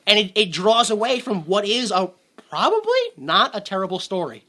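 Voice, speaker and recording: A man co-hosting a movie podcast, talking loosely and fast to his friend. His tone is bright and forward, and he stumbles through restarts, drops swear words and does quick impressions of characters he quotes. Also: high-pitched, sing-song. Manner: rambling, swears freely, laughs, acts out quotes. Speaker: podcast co-host. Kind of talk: casual movie review chat.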